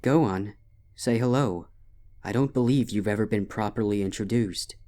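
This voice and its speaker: A man voicing a haunted, grieving royal pony princess with a soft, eerily composed manner in a dark story reading. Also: lowish voice, moderate pace, pitched above the performer's own voice, close to an everyday voice. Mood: detached, fond. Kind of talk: dramatic fan fiction reading.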